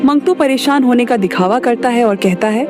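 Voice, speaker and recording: A woman's voice: fairly high pitch, normal speed, music in the background. A woman narrator reading a scripted moral story for a cartoon.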